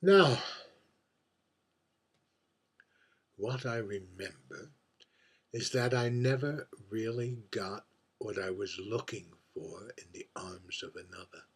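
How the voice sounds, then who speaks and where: Stage monologue: lonely, boozy drag queen played by a man, husky voice, unhurried and halting, wistful barroom confidant. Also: fairly low pitch. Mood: lonely, pleading. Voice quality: husky, breathy. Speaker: writer reading own monologue. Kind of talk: theatrical dramatic monologue.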